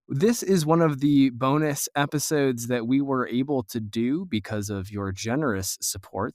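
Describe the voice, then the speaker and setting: A man's voice: medium pitch, dry recording, clean studio sound. A man hosting a podcast, introducing and interviewing in a conversational way. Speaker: podcast host and producer.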